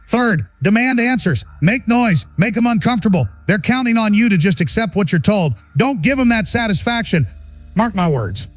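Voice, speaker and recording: A man's voice: high voice, dry recording. A man playing a fiery, defiant conspiracy radio host, ranting in emphatic, indignant, punchy phrases for an audio drama. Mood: urgent, rallying.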